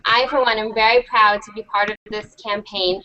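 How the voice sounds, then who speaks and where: A woman's voice: mid-range voice, steady tone, normal speed, sharp tone, lo-fi sound. A young American woman in her teens, enthusiastically hosting an online panel with a prepared introduction. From United States. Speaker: host.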